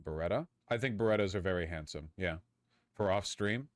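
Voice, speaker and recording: A man's voice: sits low, brisk, no room sound, studio quality. A man chatting casually and informally. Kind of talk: casual chat.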